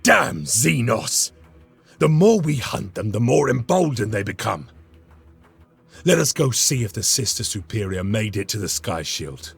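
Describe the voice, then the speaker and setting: A man's voice: lowish voice, sing-song, a little slow, vocal fry, faint music behind, heavily acted. A man voicing a dutiful, grim space marine lieutenant who speaks in a commanding, formal way, in a game cutscene.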